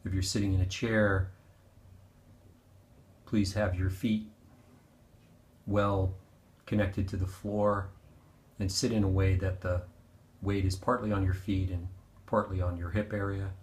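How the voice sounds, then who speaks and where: A man with a fairly low voice leads a guided meditation in a clearly echoing room. He gives gentle, unhurried instructions and leaves pauses between short phrases. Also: little pitch movement. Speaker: meditation teacher. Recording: roomy, echoing sound.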